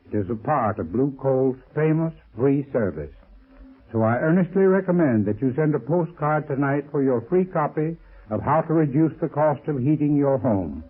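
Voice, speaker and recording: Male sponsor spokesman, old-time radio; deliberate delivery, rough-edged voice, very narrow lo-fi sound. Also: on the high side, expressive. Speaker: heating expert sponsor spokesman. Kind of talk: 1930s radio advertising pitch.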